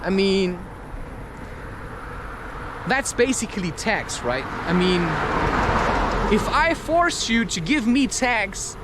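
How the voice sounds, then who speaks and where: High-pitched man musing aloud. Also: sing-song, slow, long pauses, background noise. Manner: musing, loose, frequent rhetorical questions. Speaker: podcast host. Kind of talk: rambling spontaneous monologue.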